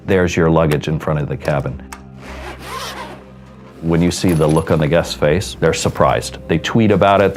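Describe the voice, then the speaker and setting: A middle-aged American man gives interview soundbites as a hotel director in a promotional film. His voice is notably deep, with music clearly audible behind him. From Seattle, United States. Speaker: cruise ship hotel director. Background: background music.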